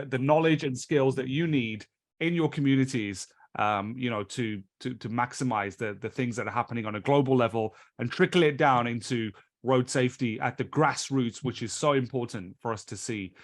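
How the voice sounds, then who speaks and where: A man's voice: medium pitch, moderate pace. A British man hosting and teaching a live online training session over a video call, speaking in a chatty, loose way that often restarts its phrases.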